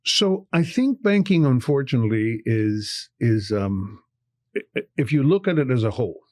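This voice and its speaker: A man's voice: medium pitch, very animated, normal speed, darkish tone, dry recording, clean studio sound. Older man, passionate and emphatic. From Cuba. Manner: passionate, repeats words when stressing a point. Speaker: bank executive. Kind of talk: podcast interview.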